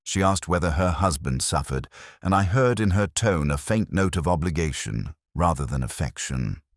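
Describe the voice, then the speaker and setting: A man playing an ageing, melancholy police inspector, narrating a detective story in a measured, literary, brooding manner. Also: low voice, lively intonation, dry recording, studio quality. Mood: brooding, reflective. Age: older. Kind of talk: first-person scripted detective story narration.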